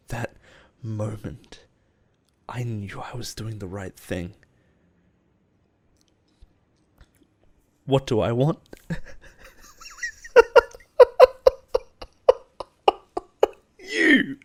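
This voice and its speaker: A man playing an obsessive, possessive boss in love with his assistant, in an intimate audio roleplay with halting, pause-filled delivery. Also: mid-range voice, full character voice. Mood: yearning, intense. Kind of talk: intimate dramatic roleplay monologue.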